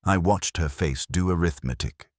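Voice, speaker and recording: A man's voice: low-pitched, moderate pace, no room sound, clean studio sound. A man reading a first-person detective story as a scripted narration, with a wry, hardboiled delivery. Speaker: audiobook narrator.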